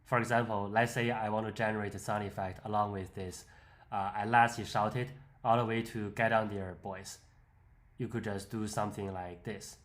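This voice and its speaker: A man presents a software tutorial in a fairly low voice. He explains the screen demo step by step in an instructional way. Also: level tone, normal speed.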